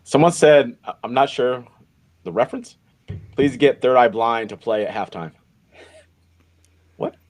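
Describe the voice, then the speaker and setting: A man's voice: mid-range voice, a little slow, long pauses, a little mellow. A man co-hosting a live football podcast, a coach who rambles, repeats himself and uses lots of fillers. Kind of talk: casual live podcast chat.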